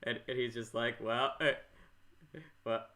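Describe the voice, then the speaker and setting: A man with a bright, forceful voice hosts a casual podcast. He speaks at a middle pitch, stumbling over phrase openings and starting them again as he chats. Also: little pitch movement, a little slow. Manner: stammering, repeats phrase starts. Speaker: podcast host. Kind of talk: casual interview chat.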